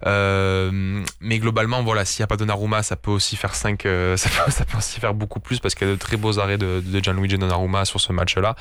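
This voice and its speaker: A man talking football as a studio guest, rushing ahead with restarts and fillers while telling stories. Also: on the low side, level tone, a bit bright, clear, resonant tone, no room sound. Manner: fast, many restarts and fillers, enthusiastic storytelling. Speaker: football pundit. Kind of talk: casual sports talk. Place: radio studio.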